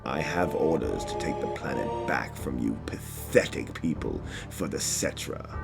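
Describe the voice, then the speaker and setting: A man's voice: extremely low pitch, expressive, creaky, exaggerated voice. A man voicing a cold, arrogant, grandiose villain who believes he is chosen, in a dramatic video game style. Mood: cold contempt. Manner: slow, grandiose, contemptuous. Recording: music under the voice.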